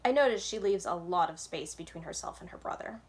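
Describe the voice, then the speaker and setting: A woman's voice: lowish voice, lively intonation, a little fast. Woman reading a children's book aloud. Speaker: library storyteller. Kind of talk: children's book read-aloud, scripted narration.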